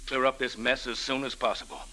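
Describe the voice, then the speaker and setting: A man's voice: mid-range voice, flat delivery, a little fast, bright, forceful tone, natural voice, poor audio. A man playing an indignant, wounded husband and businessman in an old-time radio drama, formal and insistent. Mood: earnest.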